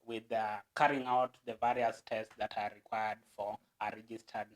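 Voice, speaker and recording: A man's voice: on the low side, flat delivery, moderate pace. A Kenyan man, a guest, explaining a process earnestly and at length, with stammered restarts.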